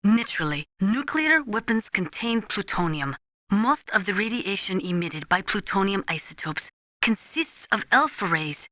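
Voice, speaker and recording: A serious female military analyst from a video game. Her voice is clear-edged and bright, recorded very dry and close. She lectures with measured precision, like an expert adviser briefing a soldier. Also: medium pitch, expressive, no echo. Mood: serious, cautioning. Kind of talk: scripted expository video game dialogue.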